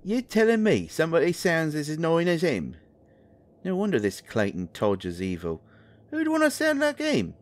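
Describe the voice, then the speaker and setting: A man's voice: fairly high pitch, wildly swooping pitch, moderate pace. A man playing a cheeky, mouthy male musician sidekick with a British accent in an audio drama, sarcastic and slangy. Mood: mocking.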